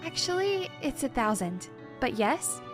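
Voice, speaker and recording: Woman acting a high-voiced, nervous bookish young researcher. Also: very animated, measured pace, soft background music. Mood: flustered. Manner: stammering, wordy, formal vocabulary. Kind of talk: audio drama acting.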